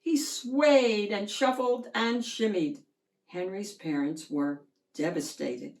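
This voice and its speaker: A woman reading a children's picture book aloud in an expressive, deliberate storytelling manner, drawing out words. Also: medium pitch.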